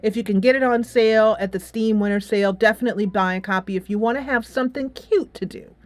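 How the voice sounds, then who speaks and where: A woman's voice: mid-range voice, quick pace, no room sound. A woman giving a casual, enthusiastic game review in a conversational, rambling way. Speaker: video game reviewer, channel host. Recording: music playing behind the voice. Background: game soundtrack music playing in background.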